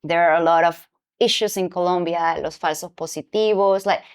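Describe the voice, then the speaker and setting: Smooth-voiced woman hosting a podcast. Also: on the low side, steady tone, normal speed. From Venezuela. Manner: reflective personal storytelling. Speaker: podcast host. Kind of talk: conversational interview.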